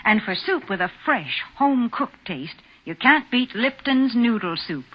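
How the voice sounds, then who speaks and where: An American woman with a fairly high voice delivers a sponsor's pitch in the style of 1940s radio. She addresses listeners in an earnest, folksy way over a slightly dull vintage broadcast. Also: animated, measured pace. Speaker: sponsor's commercial announcer.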